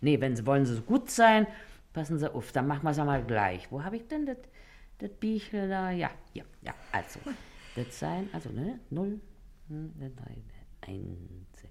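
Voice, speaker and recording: An actress playing a sly, quick-witted working washerwoman in a period comedy, brusque and dry, with an eastern German dialect. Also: sits low, big pitch swings, creaky, darkish tone, heavily acted. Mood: guarded, wary. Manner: brusque, evasive, dry retorts. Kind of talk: stage comedy acting in a period play.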